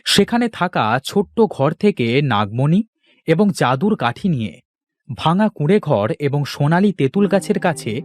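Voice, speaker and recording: A man tells a fairy tale as a cartoon narrator. His voice is on the high side and very expressive in pitch, recorded close and dry over soft music. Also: unhurried, no room sound. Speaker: storyteller, narrator.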